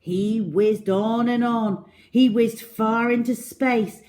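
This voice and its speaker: A woman telling a children's story in an expressive, deliberate way with dramatic emphasis. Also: a little high.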